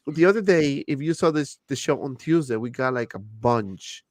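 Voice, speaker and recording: A man's voice: medium pitch, expressive, moderate pace, no room sound, studio quality. A man hosting a casual livestream, laughing often as he reads out viewer super chats. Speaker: livestream host.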